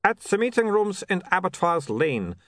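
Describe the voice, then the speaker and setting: Narrator voicing a prim, eager vampire in an audiobook; raised, bright, very dry read. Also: male voice, high voice, sing-song, normal speed, no room sound, voice pushed up. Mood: proud. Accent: Uberwald. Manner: formal stiff phrasing, earnest. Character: eager prim male vampire photographer, young.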